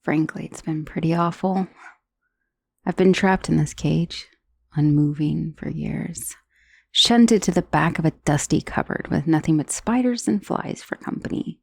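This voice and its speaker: Woman doing a sardonic lady dullahan head for asmr roleplay, lowish creaky voice, wry n wistful w a menacing streak. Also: darkish tone, no echo, exaggerated voice. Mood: weary, wistful. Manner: conversational, dryly sardonic, with sighs and hesitations.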